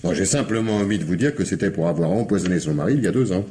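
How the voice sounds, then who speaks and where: Deep, swooping, sardonic police commissioner. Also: male voice, lively intonation, racing, dark tone. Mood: assured. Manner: wry, insistent, theatrically hesitant questioning. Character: shrewd, sardonic male police commissioner interrogating a suspect. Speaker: actor in a radio mystery. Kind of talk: French radio crime drama acting.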